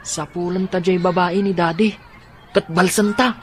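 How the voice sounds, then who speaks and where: A woman's voice: on the low side, fairly even intonation, normal speed, some chatter behind, lightly acted. A woman playing a worried but resolute, scheming young girl in a radio drama. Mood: determined. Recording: faint background noise and ambience.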